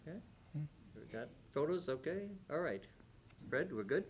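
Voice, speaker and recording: A man speaking haltingly and informally as he presents an award at a club meeting. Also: on the high side, animated, a little hiss or hum. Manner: halting delivery.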